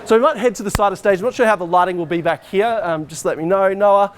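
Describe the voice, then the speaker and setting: A man in his forties with a slightly high voice leads an informal technical walkthrough. He explains things conversationally, like a teacher showing people around. There is a faint background noise behind him. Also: quick pace. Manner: explanatory, conversational, moving about while talking. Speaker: teacher, head of department giving a technical tour. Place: school hall stage and backstage, indoors.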